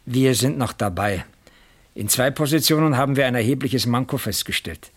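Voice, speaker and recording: A man's voice: mid-range voice, normal speed, lightly acted. A man playing a concerned, formal works manager in a German crime radio play, sober and questioning.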